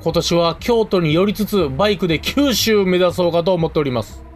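A man's voice: sits high, a little fast, slight background noise. A man with a Kansai accent co-hosting a radio show, bantering casually and reading out listener mail in a colloquial way.

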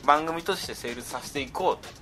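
A man's voice: fairly high pitch, fairly quick, pushed and bright, soft background music. A man hosting a podcast in casual, jokey, self-deprecating banter. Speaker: podcast host.

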